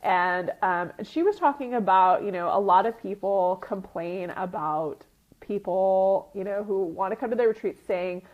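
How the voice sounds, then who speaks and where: A woman's voice: mid-range voice, unhurried. A woman with a slightly sniffly, runny-nosed sound gives an informal coaching talk, explaining things conversationally and often checking in with short tag questions. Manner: conversational teacher, often checking in with "right?" and "okay?". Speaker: retreat business coach and group host. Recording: dull lo-fi audio.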